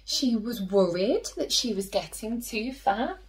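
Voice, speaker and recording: A woman who teaches and hosts story time, reading a picture book aloud to children in an expressive way, drawing out key words and dropping into hushed asides. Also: on the high side. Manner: slow, animated storytelling with drawn-out emphasis and hushed asides.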